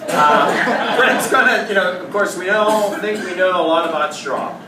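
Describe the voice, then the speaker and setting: Halting man hosting a beer tasting. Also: sits high, recorded from a distance. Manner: halting, full of "you know" and "uh". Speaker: event host. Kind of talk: casual introduction at a tasting event. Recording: echoey room recorded from afar, noisy.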